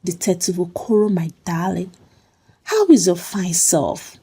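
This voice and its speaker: A woman's voice: fairly low pitch, measured pace, mellow, slightly rough, exaggerated voice. Woman voicing a sassy, emphatic best friend. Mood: playful, sassy. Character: bold, sassy, protective woman friend, resolute and scheming.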